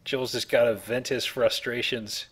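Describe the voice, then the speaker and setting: A man's voice: medium pitch, steady tone, measured pace, fairly bright tone, slightly rough. A man giving casual, joking video game commentary with playful delivery. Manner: jokey, playful exclamations. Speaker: let's play commentator.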